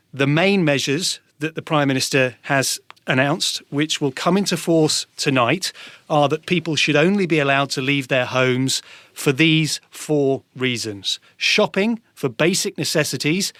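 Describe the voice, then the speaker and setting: A man with a British accent giving a measured, formal live news report as a political correspondent. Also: medium pitch, a bit bright, dry recording.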